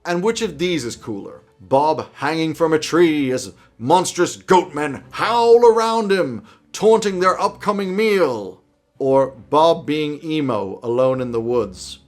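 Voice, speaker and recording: A man's voice: on the high side, lively intonation, slow, faint music behind. A man in his thirties giving conversational advice to camera in an emphatic, opinionated way, as a video host. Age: 30s. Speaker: host of tabletop roleplaying video channel. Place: small indoor home office.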